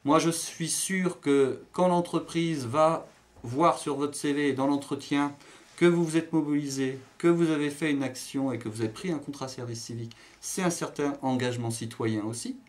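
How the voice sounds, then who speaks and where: A man's voice: a little high, moderate pace. A man giving his opinion in an interview in an assured, explanatory manner.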